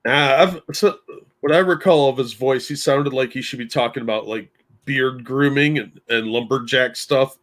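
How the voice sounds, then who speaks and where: A man's voice: medium pitch, moderate pace, fairly bright tone. A man co-hosting a podcast in casual chat, making dry wisecracks. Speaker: podcast co-host.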